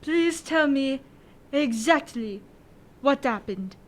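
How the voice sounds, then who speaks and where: A woman plays a stern French prefect in a radio drama. The voice is high, and it questions with formal authority in short, clipped phrases. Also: lively intonation, slow pace. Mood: stern, incredulous.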